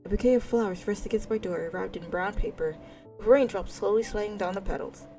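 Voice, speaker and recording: Female let's-play streamer, mid-pitched, informal and playful, self-deprecating, reading and commenting over faint music. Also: fairly quick, warm tone. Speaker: gaming streamer. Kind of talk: casual let's-play commentary, reading a visual novel aloud.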